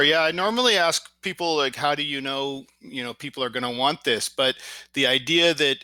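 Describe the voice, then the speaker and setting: Bright-voiced male interviewer, dry sound. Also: mid-range voice, no room sound. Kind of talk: interview questions.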